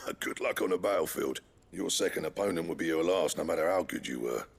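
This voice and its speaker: An actor playing a gruff, proud older blacksmith in a video game, with a blunt, wry, colloquial manner. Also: male voice, deep voice, fairly quick, full character voice. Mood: wry, sceptical. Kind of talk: video game cutscene acting.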